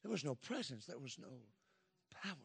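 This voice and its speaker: A man's voice: a little high, very animated, fairly quick, vocal fry, breathy edge. A man in his fifties preaching a Pentecostal sermon, emphatic, with frequent call-and-response asides to the congregation. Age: 50s.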